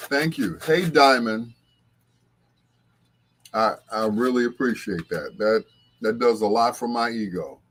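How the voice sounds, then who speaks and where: Unhurried man chatting casually on a livestream. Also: mid-range voice, fairly bright tone. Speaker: livestream host. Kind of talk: casual livestream chat, greeting viewers.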